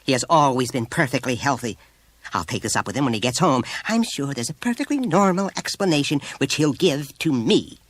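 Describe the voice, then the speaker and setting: A man's voice: medium pitch, wildly swooping pitch, measured pace, few pauses, bright, forceful tone, pitched above the performer's own voice, exaggerated voice. A man voicing a proud, formidable, well-bred mother with a haughty, clipped and indignant manner. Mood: chilly, offended.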